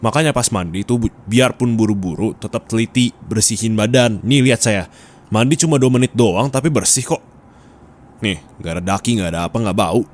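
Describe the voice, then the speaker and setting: A man's voice: on the low side, a little fast, close to an everyday voice. A man playing a bossy, nagging office boss in an ASMR roleplay, scolding and teasing bluntly in colloquial speech with a Jakarta Indonesian accent. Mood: defensive, nagging. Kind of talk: intimate ASMR roleplay acting. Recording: steady background ambience noise.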